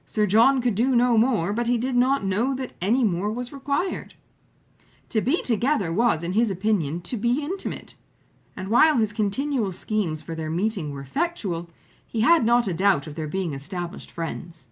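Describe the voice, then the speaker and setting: A woman narrates a classic novel with animated, rising and falling intonation. Her voice is mid-pitched with a husky edge. The recording sounds slightly muffled. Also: moderate pace. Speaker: audiobook reader. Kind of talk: expressive literary narration.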